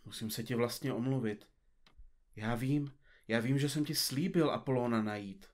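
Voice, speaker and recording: A man's voice: mid-range voice, lightly acted. A man playing a pompous, absent-minded detective in an audio comedy drama, speaking in florid, formal, bookish phrases. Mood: apologetic, earnest.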